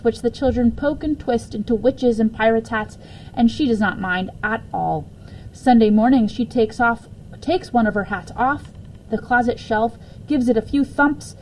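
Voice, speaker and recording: A woman reading a children's book aloud as a storyteller, expressively and deliberately, with occasional stumbles and self-corrections. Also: on the high side, a little mellow, slight background noise, poor audio. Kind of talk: read-aloud children's story with casual asides.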